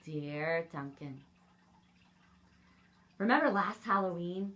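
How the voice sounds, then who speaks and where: A woman's voice: fairly low pitch, lively intonation, slow pace, fairly bright tone. A woman voicing a timid crayon that pleads with its friend, in a children's storybook reading. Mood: chummy. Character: scared glow-in-the-dark crayon, pleading timidly.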